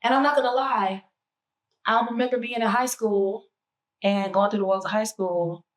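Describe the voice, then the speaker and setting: Hoarse female host, casual roundtable talk, reflective, halting delivery. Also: mid-range voice, fairly even intonation. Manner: reflective, searching for words. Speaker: podcast host.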